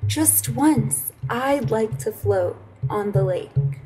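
A woman voicing a gentle, wistful male moon from a storybook, speaking softly and simply. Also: on the high side, animated, slow pace, faint music behind. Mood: dreamy.

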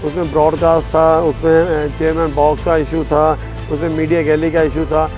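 A middle-aged Pakistani groundsman with a slightly high voice, sitting out on the field for a sports documentary interview, music playing underneath. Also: flat delivery, moderate pace. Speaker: stadium caretaker being interviewed. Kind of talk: informal interview to camera. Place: outdoors on a cricket stadium field. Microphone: lapel mic. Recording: music behind the voice.

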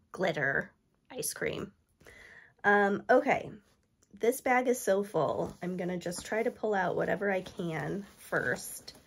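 A woman in her thirties, stuffy from allergies, chatting eagerly to camera about thrift finds with lots of ums. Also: on the low side. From New York State. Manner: chatty, enthusiastic, many ums. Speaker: thrift haul vlogger. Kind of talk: casual chat to camera. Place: indoor room with toy shelves.

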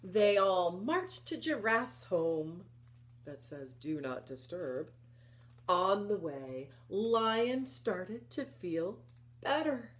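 A woman in her fifties presenting story time for young children, reading and explaining in an expressive, encouraging manner. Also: medium pitch, drawn-out words. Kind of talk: children's read-aloud and instruction. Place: small furnished room at home, indoors.

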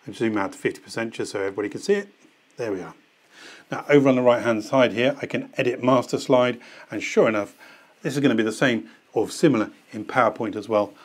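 A man, presenting a screen-recorded software tutorial and walking through each step as he clicks, recorded in a studio on a lapel mic. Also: medium pitch. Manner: relaxed step-by-step, thinking aloud while clicking. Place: studio set with desk and laptop.

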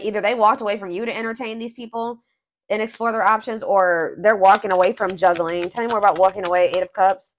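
A woman giving a casual tarot reading off camera, thinking aloud with fillers and restarts, her voice a little hoarse. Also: mid-range voice, slight background noise, poor audio. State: voice going hoarse. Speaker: tarot reader.

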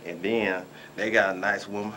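A man's voice: lowish voice, steady tone, normal speed, pushed and bright, slight background noise. A man in his forties from Dayton, Ohio, doing a rambling, boastful comic rant on a local talk show. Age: 40s. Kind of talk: comic monologue and banter. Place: small room indoors.

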